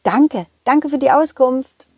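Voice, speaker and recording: A woman voicing a cheerful, confident dog hero in a children's audio play, animated and coaxing as the dog talks to young listeners. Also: high-pitched, slow pace, husky, no echo, heavily acted. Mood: friendly, eager.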